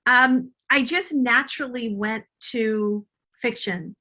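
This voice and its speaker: A woman's voice: on the high side, slow pace, bright, forceful tone. A middle-aged woman answering interview questions as a guest author, explaining herself in a reflective, conversational way.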